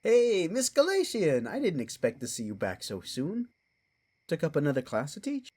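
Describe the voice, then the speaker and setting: A man's voice: a little high, wildly swooping pitch, normal speed, darkish tone, breathy edge, exaggerated voice. A man playing a folksy, theatrical, wryly doom-laden creature in an audio drama. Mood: surprised, friendly.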